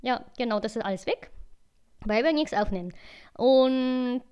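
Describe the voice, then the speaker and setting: Japanese woman co-host, clear smooth voice, slightly high, halting German. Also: highs cut off. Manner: hesitant, searching for German words. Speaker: podcast co-host. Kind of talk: casual podcast chat.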